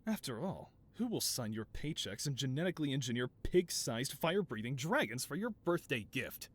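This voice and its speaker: A man's voice: medium pitch, big pitch swings, moderate pace. A man playing a flamboyant, doting mad scientist supervillain boss in a scripted audio roleplay, with a theatrical, wheedling, self-justifying manner. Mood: indignant.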